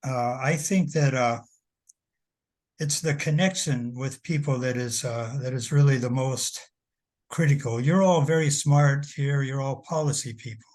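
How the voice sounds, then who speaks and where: A man's voice: medium pitch, a little mellow. A man speaking casually on a video call, rambling with many restarts and fillers. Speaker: guest speaker. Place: home.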